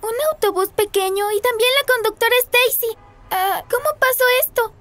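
A woman's voice: very high voice, fairly even intonation, moderate pace, a bit bright. A woman voicing a puzzled, earnest child in a children's cartoon.

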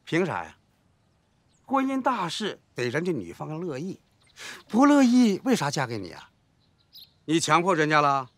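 An incredulous elder villager in a TV drama. His high voice leaps up and down as he questions and scolds, recorded dry and close. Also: wildly swooping pitch, normal speed, pauses often, no room sound, heavily acted. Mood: scolding. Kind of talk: TV drama acting.

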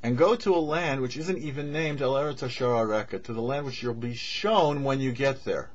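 A middle-aged man, a rabbi giving a short religious teaching in measured phrases, mixing in Hebrew terms. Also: medium pitch. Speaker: rabbi, host of a weekly Torah show. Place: indoors before a cloth backdrop. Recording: dull, muffled lo-fi audio.

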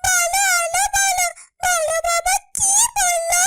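A woman voicing a tiny, watchful guardian in a fairytale cartoon, with a drawn-out, soothing delivery. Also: very high voice, flat delivery, noisy background. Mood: reassuring. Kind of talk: dramatic fairytale cartoon dubbing.

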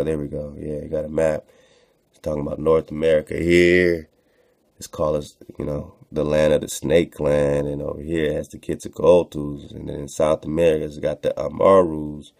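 A man's voice: extremely low pitch, vocal fry, mellow. A man reading aloud from a text and commenting on it as a show host, in a casual, slangy manner that repeats phrases for emphasis. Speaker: host of an online radio show.

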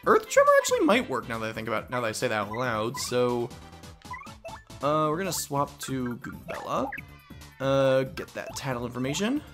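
A man's voice: medium pitch, wildly swooping pitch, moderate pace, music in the background. A young man casually commenting as he plays a game, rambling and self-mocking. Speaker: let's play host.

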